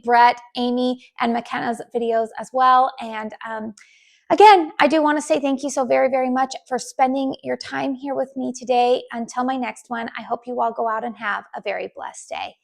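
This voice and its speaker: A woman who hosts a candle channel, from the United States, chatting casually to her viewers with a raspy voice, with many ums and restarts. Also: sits high, steady tone, moderate pace. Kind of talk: casual chat to camera.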